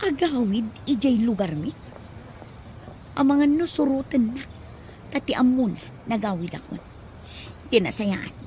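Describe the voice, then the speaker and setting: A woman's voice: medium pitch, dark tone, slight background noise, lightly acted. A woman acting an obsessed, clingy and jealous girlfriend in an Ilocano comedy drama, with an Ilocano Filipino accent and a whiny, melodramatic manner.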